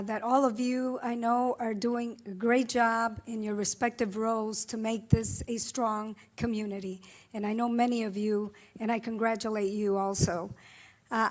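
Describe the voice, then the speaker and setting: A woman in her sixties from Puerto Rico, whose first language is Spanish, accepts an award. Her voice sits a little high, and she speaks earnestly, pausing often with filler sounds as she finds her words. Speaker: award honoree. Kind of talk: acceptance speech.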